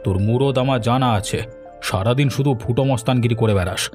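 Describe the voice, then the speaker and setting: A man's voice: medium pitch, fast talker, darkish tone, natural voice. A man voicing a stern village headman in a cartoon, scolding in a scornful, commanding way. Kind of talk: cartoon dialogue. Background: music.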